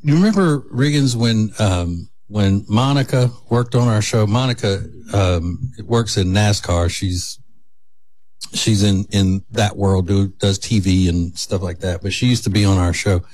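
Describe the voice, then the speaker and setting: A man's voice: fairly low pitch, darkish tone, dry recording. A man, hosting a casual morning radio show from a studio and drawing out his teasing stories. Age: 50s. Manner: drawn-out, teasing storyteller.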